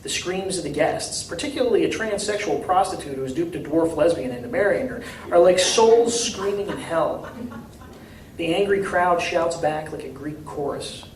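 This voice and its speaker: A man with a slightly high voice reads his fiction aloud to a room. His delivery is measured and deliberate. He sounds some way from the microphone, with strong room echo and faint noise. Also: expressive, recorded from a distance. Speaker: author reading from his novel. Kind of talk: live literary reading, dramatic first-person narration. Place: indoor reading at a university.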